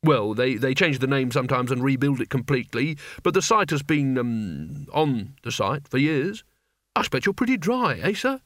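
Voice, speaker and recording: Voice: mid-range voice, normal speed, few pauses, no echo, studio quality, lightly acted. A man voicing a nervous, cowardly male wizard underling in an audiobook, hesitant and eager to appease. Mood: hesitant, ingratiating.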